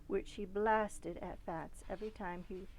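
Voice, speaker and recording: A woman reading a children's storybook aloud expressively, with dramatic emphasis that softens at phrase ends. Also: mid-range voice, steady tone, a little slow, slight background noise, poor audio. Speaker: storybook reader.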